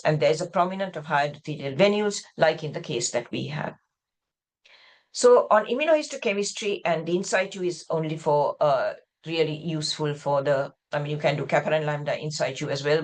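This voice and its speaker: A woman from Sri Lanka with a slightly low voice delivers a specialist medical lecture. She explains things step by step in a discursive manner, often rewording a sentence halfway through. She speaks indoors over a video call. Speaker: pathologist lecturer.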